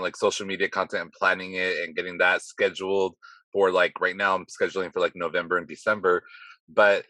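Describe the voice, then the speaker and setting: A man hosting a podcast interview, slightly hoarse, chatty and self-deprecating as he rambles through his questions. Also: low-pitched, pushed and bright, no room sound. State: slightly hoarse from heavy talking. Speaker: podcast host. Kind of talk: casual interview chat.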